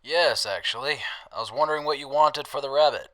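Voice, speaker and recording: Man as a sharp-voiced, wry wasteland drifter. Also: mid-range voice, brisk, natural voice. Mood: bargaining. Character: wry, roguish wasteland drifter with a soft heart. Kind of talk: audio drama acting.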